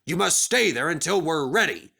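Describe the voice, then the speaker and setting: A man playing a stern, pompous middle-aged railway controller with a severe, deliberate manner, in a children's story. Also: a little high, big pitch swings, creaky, bright, forceful tone, husky, heavily acted.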